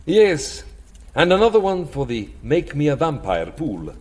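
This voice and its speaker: An actor playing a world-weary vampire master of a castle in a comedy audio drama, theatrical and musing, given to petty grumbling. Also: male voice, fairly high pitch, wildly swooping pitch, a little slow, a little mellow, full character voice.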